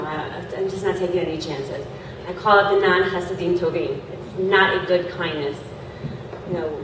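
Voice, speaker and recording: A woman on a panel sharing a frank, gently humorous reflection on faith to an audience. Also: on the low side, background noise. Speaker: panel speaker. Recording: echoey hall, distant microphone.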